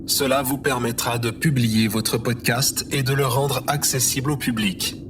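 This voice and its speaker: A man voicing a literal-minded computer assistant in an audio drama, measured, formal and deadpan. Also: mid-range voice, a little hiss or hum, close to an everyday voice. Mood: matter-of-fact. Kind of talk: scripted audio drama, encyclopedic announcing.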